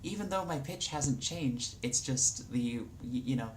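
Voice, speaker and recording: Young woman, very low pitch, casual tutorial chat, lots of ums, faint room noise. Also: measured pace. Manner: relaxed, rambling with many fillers. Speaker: vlogger.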